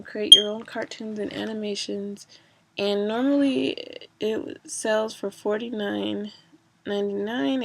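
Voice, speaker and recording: Woman, casual vlogger, mid pitch, fry in the voice, congested from a cold, loose rambling delivery. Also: noisy background. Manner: rambling, many fillers and restarts. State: stuffy from a cold. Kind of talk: casual personal vlog.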